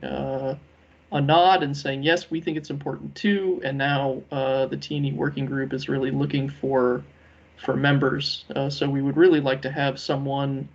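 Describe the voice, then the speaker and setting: Gravelly, even-toned man on a dull conference call. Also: mid-range voice. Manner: measured, hesitant, halting delivery with many ums. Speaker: working group lead presenting a proposal. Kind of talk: business presentation on a conference call.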